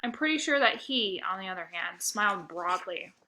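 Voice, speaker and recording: A woman narrating a children's book aloud with expressive, first-person storytelling. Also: medium pitch, moderate pace, poor audio.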